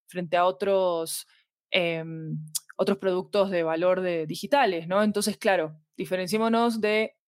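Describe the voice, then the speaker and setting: A woman's voice: fairly low pitch, steady tone, unhurried, no room sound, studio quality. A woman with a Latin American Spanish accent talking conversationally as an interview guest, explaining her thoughts and often checking for agreement. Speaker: podcast guest, fiction podcast producer. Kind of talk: conversational interview about audio fiction.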